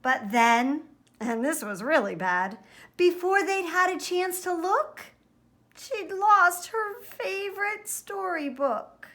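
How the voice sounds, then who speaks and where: Hohe Frauenstimme liest langsam ein Bilderbuch vor. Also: female voice, extremely high pitch, animated, slow, a bit bright. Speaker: storybook reader. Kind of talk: expressive children's picture book read-aloud.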